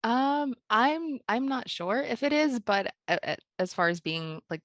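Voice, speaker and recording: A woman from Pennsylvania, a podcast guest, explaining her work in a measured way with soft laughs. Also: on the high side, lively intonation, no room sound. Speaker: podcast guest, food project founder. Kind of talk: interview conversation.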